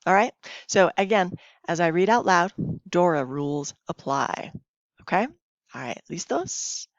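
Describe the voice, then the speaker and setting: A woman's voice: fairly low pitch, animated, measured pace. A woman teacher giving a recorded lesson, reading slides aloud in an instructive way with short check-in questions. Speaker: Spanish teacher. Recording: dull lo-fi, roomy home recording.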